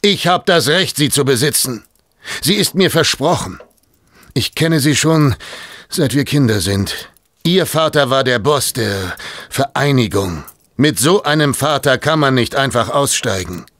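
An actor in a German audio drama playing a bitter, lovesick and possessive gangster with an intense, defiant manner. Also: male voice, mid-range voice, animated, measured pace, fairly bright tone, no echo, clean studio sound. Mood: defiant, scornful.

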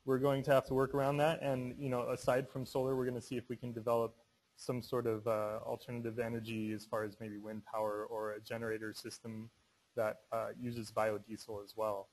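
A man's voice: medium pitch, completely flat delivery, fairly quick, a little mellow, poor audio. A man presenting informally as a student at a public meeting.

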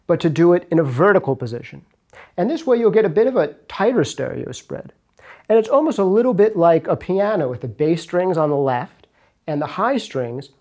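A man in his thirties hosts an instructional video from a recording studio. His voice sits a little high, and he explains things casually, speaking to camera. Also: a little mellow. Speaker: audio engineering video host and instructor. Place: recording studio rooms, indoors.

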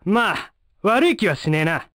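A man voicing a cocky, hot-headed young ninja with a brash, rough manner in an anime fighting game. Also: high-pitched, very animated, unhurried, sharp tone, no room sound, studio quality, exaggerated voice. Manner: brash, rough street slang, combative.

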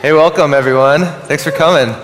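A man's voice: on the high side, fairly even intonation, fairly quick, a bit bright. Slightly sick man co-hosting, echoey hall. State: a little sick. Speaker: co-host. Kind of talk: casual live stage chat. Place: theatre-style church sanctuary stage, indoors. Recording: echoey hall, faint crowd noise.

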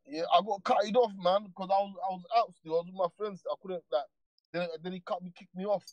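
A man's voice: high voice, steady tone, brisk, darkish tone, no echo, highs cut off. A man calls in to a livestream and chats casually, rambling in laid-back street slang. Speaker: caller. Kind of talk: casual call-in chat.

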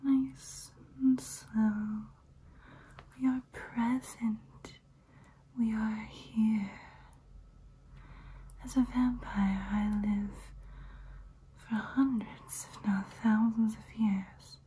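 A woman performs an ancient female vampire in an ASMR roleplay. Her breathy whisper is soft and mellow, drawn out very slowly and kept almost flat, soothing and hypnotic throughout. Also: on the high side, heavily acted. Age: young adult. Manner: slow, soothing, hypnotic, repetitive. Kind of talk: ASMR personal-attention roleplay. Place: small room indoors.

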